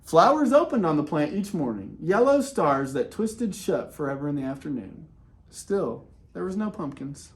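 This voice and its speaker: A man reading a children's picture book aloud in an expressive way, with dramatic pauses and casual, conversational delivery. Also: fairly high pitch. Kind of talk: animated read-aloud with casual asides.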